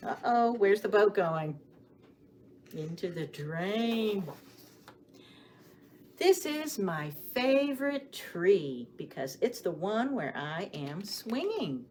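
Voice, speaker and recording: Woman, children's storytime reader, slow pace, very varied pitch, expressive, questioning the kids, background noise. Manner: addresses children directly with questions and pauses for answers; sing-song, expressive delivery. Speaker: children's librarian hosting storytime. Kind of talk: storytime read-aloud and rhymes for young children. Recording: clearly audible background noise.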